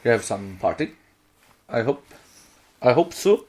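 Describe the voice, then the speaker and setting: Slow, halting Swedish man. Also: mid-range voice, very animated, darkish tone, slightly rough. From Sweden. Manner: halting, searching for words in imperfect English. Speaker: podcast co-host. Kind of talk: casual chat.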